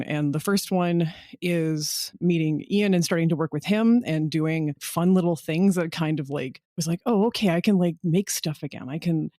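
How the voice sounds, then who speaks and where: A woman's voice: lowish voice, mellow, dry recording, clean studio sound. A woman chatting casually and candidly, in an informal, emphatic way. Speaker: podcast co-creator, writer. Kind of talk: casual chat.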